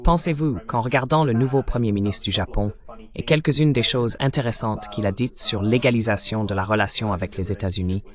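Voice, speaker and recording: A man's voice: on the low side, brisk. A man reading a voice-over translation of a political interview. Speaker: French voice-over translating interview host and guest.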